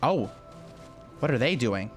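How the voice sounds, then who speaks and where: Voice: mid-range voice, big pitch swings, measured pace. A game streamer giving casual, slangy playthrough reactions, gushing and often repeating phrases. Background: game music.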